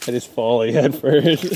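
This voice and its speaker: A man's voice: mid-range voice, warm tone. A young man joking and teasing off to the side of the camera, casual and offhand. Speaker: vlogger's boyfriend. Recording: off-mic, noisy handheld camera audio.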